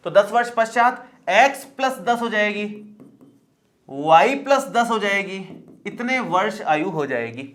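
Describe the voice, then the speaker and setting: A man's voice: sits high, lively intonation, measured pace, a bit bright. A male math teacher explaining a lesson step by step in a chatty, reassuring way, often repeating points and checking in with the viewer.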